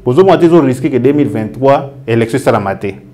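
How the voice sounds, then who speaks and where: A man's voice: mid-range voice, animated, fairly quick, dark tone. A man interviewing a politician, asking long, winding questions.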